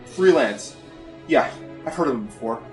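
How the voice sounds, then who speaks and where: A man's voice: mid-range voice, expressive, normal speed, faint music behind, close to an everyday voice. Man playing a knowing pony, echoey and distant. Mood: matter-of-fact. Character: knowing male pony explaining a shady rival. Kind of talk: radio play acting. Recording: echoey room, distant mic.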